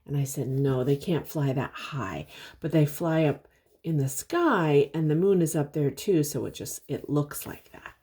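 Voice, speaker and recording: Slow, husky older woman reading to children. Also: low-pitched. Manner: expressive, simple explanations and questions for little listeners. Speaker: host reading a children's picture book aloud. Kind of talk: read-aloud storytelling with explanatory asides to young children.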